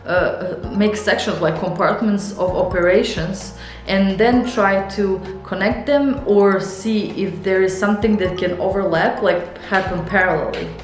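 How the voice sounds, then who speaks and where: A young woman with a Serbian accent, a Serbian native speaker, rambling and thinking out loud in a casual studio vlog, with many fillers and restarts. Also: mid-range voice, a little slow, reverberant, soft background music. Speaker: illustrator and author. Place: home art studio.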